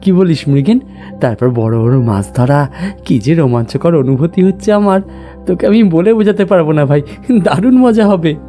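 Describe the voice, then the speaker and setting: Man playing an eager, mellow-voiced village boy. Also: on the high side, normal speed, faint music behind, natural voice. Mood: excited. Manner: excited, pleading, chatty. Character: eager, excitable village boy, narrator's young friend. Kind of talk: Bengali audio story character dialogue.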